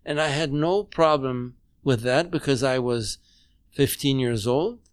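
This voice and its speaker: A man in his nineties who grew up speaking German, recounting memories in a halting, measured way with many restarts, at home. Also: mid-range voice, clean tone, no echo, studio quality. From Poland. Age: 90s. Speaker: interview guest. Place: home dining room.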